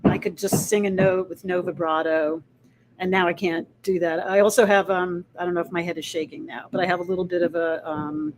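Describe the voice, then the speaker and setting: Low, husky woman musician, faintly noisy. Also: fairly even intonation, fairly quick. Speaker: singer and musician guest. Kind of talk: interview answers. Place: restaurant.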